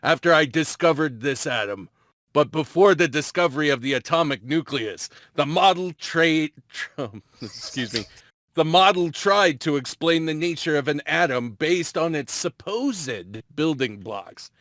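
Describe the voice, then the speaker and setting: A man's voice: fairly high pitch, lively intonation, a little slow, a bit bright, no echo, clean studio sound. A man playing a scheming scientist doctor in an amateur fantasy drama table read, explaining things like a lecturer. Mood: lecturing.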